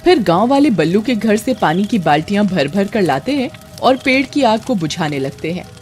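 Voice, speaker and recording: Animated woman narrating a story. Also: medium pitch, moderate pace, soft background music. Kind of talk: scripted dramatic story narration.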